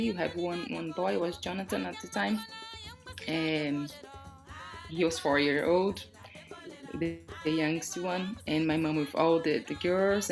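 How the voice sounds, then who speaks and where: A woman from Brazil with a Brazilian accent and Portuguese as her first language, speaking reflectively in an interview and often searching for words. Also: lowish voice. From Brazil, born in Salvador and raised in São Paulo. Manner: halting, searching for words with repetitions. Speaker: dance artist being interviewed. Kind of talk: reflective conversational interview about own life.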